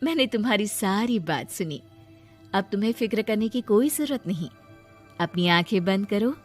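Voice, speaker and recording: A woman voicing a kindly, motherly little tree fairy who speaks gently and reassuringly in a moral story. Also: medium pitch, animated, normal speed, faint music behind, close to an everyday voice. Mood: soothing. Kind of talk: acted moral-story dialogue.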